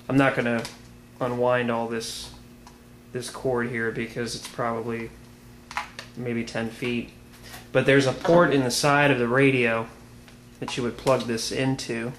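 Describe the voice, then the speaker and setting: An American man giving an informal product demonstration as a video reviewer, plain-spoken and unhurried. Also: mid-range voice, a bit bright. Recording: clearly noisy amateur video sound.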